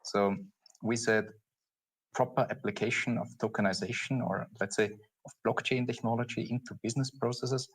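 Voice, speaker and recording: A man's voice: medium pitch, fairly even intonation, slightly rough, poor audio. A man with an Austrian accent speaking as a panelist at a conference, hesitating and searching for his words. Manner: halting, searching for words, chuckling.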